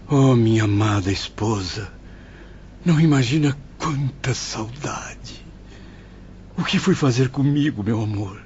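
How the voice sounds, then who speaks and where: An actor playing a confused, anguished, remorseful man in a dramatized audiobook, with a Brazilian accent and troubled, questioning delivery. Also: on the low side. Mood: grieving. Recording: muffled recording over background music.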